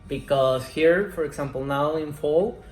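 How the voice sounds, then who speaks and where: A man in his twenties, a Spanish speaker, answering interview questions in slow, careful English. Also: fairly high pitch, a little mellow. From Mexico. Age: 20s. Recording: roomy echo, faint music.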